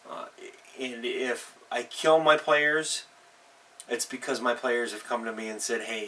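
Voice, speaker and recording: A middle-aged man talks off the cuff like a game master giving advice. His voice sits low yet has a bright, hard edge, and he stops and starts, punching key words. The mic is some way off, with faint noise behind him. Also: distant mic, low-quality recording. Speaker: host, tabletop game master. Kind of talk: casual advice vlog, unscripted.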